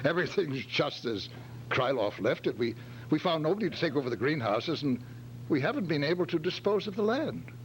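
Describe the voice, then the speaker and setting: A man's voice: on the high side, a little fast, low-quality recording. Guy doing a jumpy well-to-do businessman, old radio mystery style, voice swings up and down, faint hum behind. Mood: anxious recalling. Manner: stammering, hesitant, excitable. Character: flustered, startled well-off businessman, clubman, grown man. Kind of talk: old-time radio detective drama acting.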